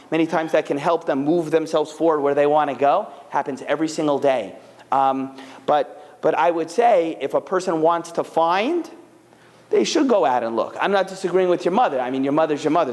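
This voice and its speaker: A man's voice: fairly high pitch, big-room sound. A man talking casually and animatedly to a live audience, answering questions. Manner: chatty, animated, full of fillers.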